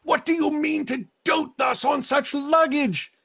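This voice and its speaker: An actor playing a servile, scheming, fretful island monster in a Shakespearean radio play, with a raspy, creaky voice. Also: male voice, very high voice, steady tone, measured pace, fairly bright tone, full character voice. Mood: impatient, fretful.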